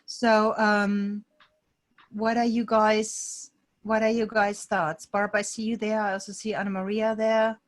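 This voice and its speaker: A woman's voice: mid-range voice, flat delivery, a little slow. A woman hosting an online group coaching session, talking casually to the participants over a video call.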